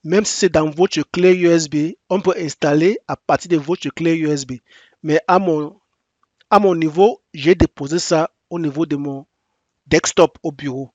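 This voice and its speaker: Man teaching software in halting French. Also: fairly high pitch, steady tone, no room sound, highs cut off. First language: English. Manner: step-by-step, repetitive instructions; halting non-native French with frequent restarts. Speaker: software tutorial presenter. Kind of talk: screen-recorded software tutorial.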